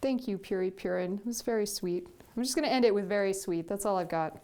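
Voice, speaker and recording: A woman's voice: medium pitch, fast talker, warm tone. A young woman chatting casually as she opens fan gifts, gushing and repeating herself. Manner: gushing, repeats "sweet" often. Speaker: vlogger. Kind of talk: casual gift unboxing chat.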